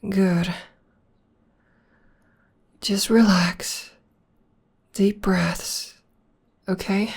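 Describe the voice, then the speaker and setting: A young woman voicing a gentle, devoted witch girlfriend in an ASMR comfort roleplay, speaking softly and often in a whisper. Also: medium pitch, steady tone, extremely slow, pauses often, breathy edge, exaggerated voice. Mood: tender, soothing. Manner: soft, soothing, often whispering. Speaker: voice actor.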